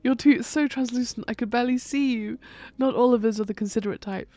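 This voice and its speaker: A woman's voice: fairly high pitch, fast talker, dark tone, no echo, close to an everyday voice. A woman voicing an old witch and necromancer, blunt, sardonic and crude-mouthed, in an audio drama. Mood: wry. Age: older.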